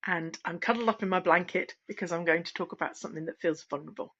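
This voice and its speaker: A middle-aged woman, a singing teacher, talking candidly at home in a relaxed, unscripted vlog, pausing and restarting as she reflects. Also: on the low side. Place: at home, wrapped in a blanket. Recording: dull, lo-fi sound.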